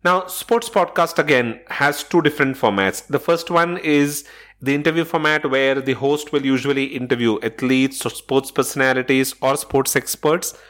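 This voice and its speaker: A man's voice: mid-range voice, moderate pace, a bit bright. A man hosting a solo podcast episode, explaining points in a methodical, instructional way from loose notes. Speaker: podcast host.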